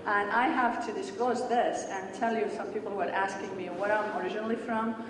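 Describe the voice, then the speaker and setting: A woman's voice: medium pitch, steady tone, a little fast, crowd noise. A woman from Syria, giving an earnest medical lecture in short explanatory phrases and answering questions in a large hall. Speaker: pathology lecturer. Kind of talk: conference lecture and Q&A. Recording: echoey hall, distant mic, background noise.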